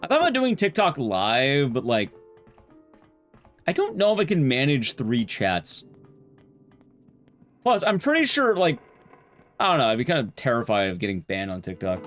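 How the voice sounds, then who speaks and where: Animated man chatting on a game stream. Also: mid-range voice, normal speed, long pauses, soft background music. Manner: rambling, conversational, blunt, swears casually. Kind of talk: casual live-stream chat while gaming.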